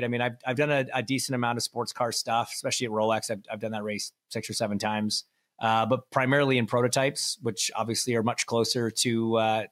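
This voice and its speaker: A man's voice: mid-range voice, fairly bright tone. A man from Canada, a racing driver guest on a podcast, chatting casually with self-deprecating jokes and frequent restarts.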